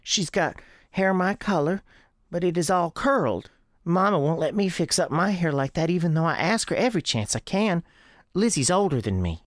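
A woman's voice: fairly low pitch, expressive, normal speed, no room sound, clean studio sound, lightly acted. A woman playing a lost, polite young girl with a Southern US accent in a radio drama, earnest and childlike.

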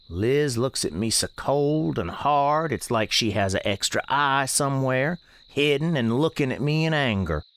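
Animated, folksy storyteller. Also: medium pitch. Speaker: narrator and storekeeper character in audio drama. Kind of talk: folksy first-person storytelling.

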